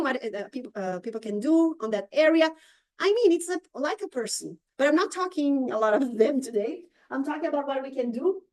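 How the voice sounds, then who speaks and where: A woman with a Brazilian accent leads a training session in a high, light voice. She stops often, fills gaps with small hesitations and searches for the right English word. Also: a little mellow. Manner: halting, searches for words with many fillers. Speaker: real estate marketing trainer. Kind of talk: live training presentation.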